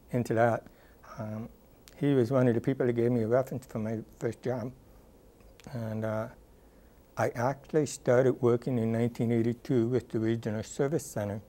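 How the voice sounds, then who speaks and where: A man in his sixties, a former rehabilitation counselor, recalling his career in a thoughtful, unhurried oral history interview, with frequent ums and uhs, recorded on a lapel mic in a dark studio. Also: medium pitch.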